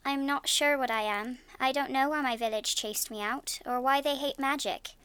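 A woman's voice: sits high, moderate pace, pushed and bright, clean tone, no room sound, pitched above the performer's own voice. A woman voicing a frightened young woman with magic, speaking shakily through tears. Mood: quiet. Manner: shaky and tearful, voice rising when upset.

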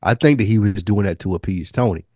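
A man co-hosting a podcast, calmly explaining things in a casual chat about a TV show. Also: lowish voice, quick pace, dry recording, clean studio sound. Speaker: podcast co-host.

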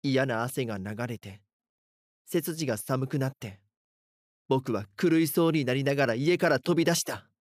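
A haunted teenage boy, voiced by a man, tells his own horror story in a radio-play style. He sounds earnest and dramatic, with a middling pitch and a close, dry sound. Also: moderate pace, no echo, lightly acted. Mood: panicked. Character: frightened schoolboy haunted by his doppelganger. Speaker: voice actor narrating a drama. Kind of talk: first-person scripted horror narration.

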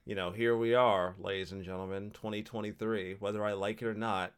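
A man in his thirties hosting a casual podcast, ranting with lots of swearing and sudden loud outbursts. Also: on the low side, a little fast, smooth. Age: 30s. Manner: profane, animated rants with sudden loud outbursts. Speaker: podcast host.

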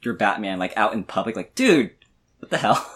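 A man with a fairly low voice talks casually as a podcast co-host. He rambles and halts, feeling his way through each thought in a loose, conversational style. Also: sing-song, a crowd in the background. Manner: halting, rambling, filler-heavy. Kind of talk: casual movie discussion.

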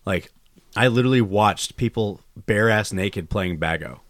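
A man's voice: on the low side, unhurried, dry recording, clean studio sound. A man hosting a podcast, chatting casually in a rambling, crude way and swearing a lot. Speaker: podcast host. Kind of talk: casual chat. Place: indoor room recording a podcast. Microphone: studio mic he monitors himself.

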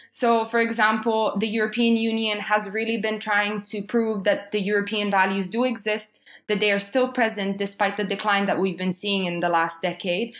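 A young adult woman from Serbia presenting a prepared talk on world affairs, measured and with frequent ums. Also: mid-range voice, fairly bright tone.

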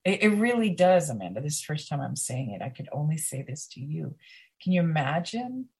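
A woman guest on a podcast, talking slowly, emphatically and intimately, with pauses. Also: low-pitched, very animated, darkish tone, breathy edge. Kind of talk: conversational podcast interview.

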